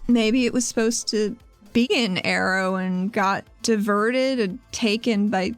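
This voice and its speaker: A woman's voice: mid-range voice, measured pace, no echo. A woman playing a tabletop role-playing game, talking casually and partly in character. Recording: faint music under a dry voice. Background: soft background music.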